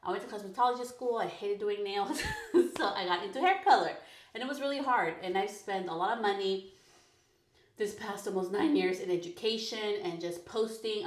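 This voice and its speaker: A woman in her thirties hosting a personal podcast, talking frankly and casually, full of "like" and quick laughs. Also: medium pitch. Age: 30s. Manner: chatty, frank, peppered with "like", laughs often. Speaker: podcast host. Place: her bedroom recording corner. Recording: echoey home room.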